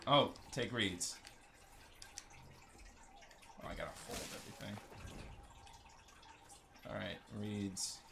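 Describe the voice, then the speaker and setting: A man with a creaky voice giving casual, joking video game commentary, talking to himself as he plays. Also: lowish voice, slow, pauses often, noisy background.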